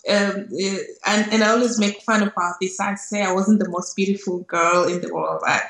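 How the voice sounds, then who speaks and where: Kenyan woman in a roomy video call. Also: medium pitch. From Kenya, grew up in Nairobi. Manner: reflective, emphatic repetition. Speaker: interview guest. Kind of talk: personal storytelling in an interview. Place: small indoor room on a video call. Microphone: earphone headset.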